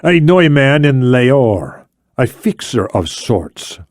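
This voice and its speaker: A man voicing an older, shrewd and commanding Spanish ex-intelligence officer in formal, deliberate audiobook dialogue. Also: medium pitch, sing-song, darkish tone, no echo, studio quality, pitched above the performer's own voice. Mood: confiding, eager.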